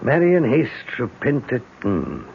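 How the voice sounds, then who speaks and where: Gravelly old British inspector, animated. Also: male voice, medium pitch, slow pace, exaggerated voice. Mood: musing, suspicious. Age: older. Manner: avuncular, old-fashioned phrasing, musing. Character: genial old English police inspector, war veteran uncle, shrewd. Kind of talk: radio drama acting. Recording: tinny, noisy old radio recording.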